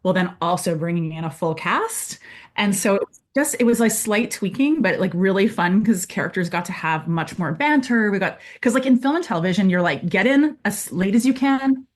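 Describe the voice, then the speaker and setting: A woman's voice: mid-range voice, lively intonation, moderate pace. A woman guest, a co-writer, chatting casually in an interview, full of fillers and acted-out quotes. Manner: chatty, many fillers, acts out quoted lines.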